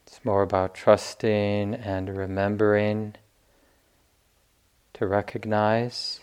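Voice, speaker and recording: A man teaching meditation, giving gentle guided instruction in short phrases with long pauses. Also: lowish voice, clean tone.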